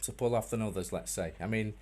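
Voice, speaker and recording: A man making a prank call as a customer, speaking in a deadpan, mock-earnest way with hesitant, repeated phrases. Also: on the low side, fast. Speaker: prank caller.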